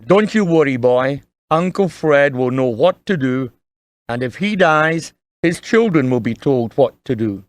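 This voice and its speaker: A man playing a kindly, earnest dying father in an audio drama, gently and solemnly advising his young son. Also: medium pitch, animated, measured pace, dry recording, lightly acted. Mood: reassuring.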